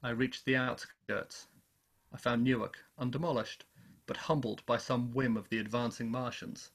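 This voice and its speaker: A man's voice: mid-range voice, fairly even intonation, normal speed, a bit bright. An actor playing a weary, lonely man who survived an invasion, speaking in a solemn, literary manner in a radio play. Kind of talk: dramatic radio play narration and dialogue.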